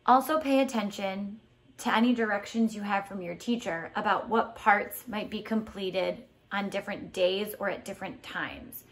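A woman reads a story aloud for children in a medium-pitched voice. Her delivery is deliberate and expressive, with emphasis on key words, in the manner of a teacher giving a lesson. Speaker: teacher reading a picture book aloud. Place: indoors at home, tiled floor.

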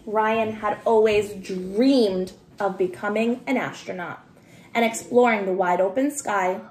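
Unhurried woman reading aloud, roomy sound. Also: mid-range voice, fairly even intonation, a little mellow. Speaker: storybook reader. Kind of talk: expressive children's read-aloud. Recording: roomy home recording with noticeable hiss.

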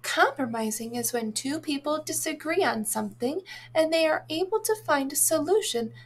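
A woman reading a children's storybook aloud in an expressive, deliberate way for young listeners. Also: high voice. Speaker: read-aloud narrator, teacher.

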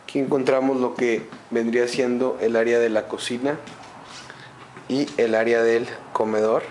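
A man giving a slow, methodical walkthrough of an empty home, listing its features room by room. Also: mid-range voice, flat delivery, a little mellow, a little hiss or hum, poor audio. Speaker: home sales guide. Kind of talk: walkthrough narration.

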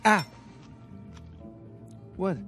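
A man's voice: very slow, sharp tone, faint music behind. An actor playing a wisecracking, restless American husband in his thirties, talking casually and hesitantly, as in a video game cutscene. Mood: distracted, sheepish.

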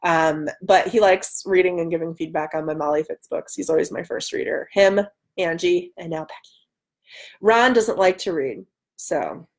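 A woman in her thirties hosting a casual live stream from home, loud, excitable and bantering as she answers comments. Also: fairly low pitch, normal speed. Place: room at home by a large window.

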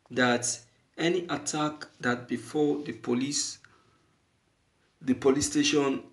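A man giving opinionated news commentary as an online commentator, halting and deliberate, repeating phrases emphatically for stress. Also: mid-range voice, gravelly.

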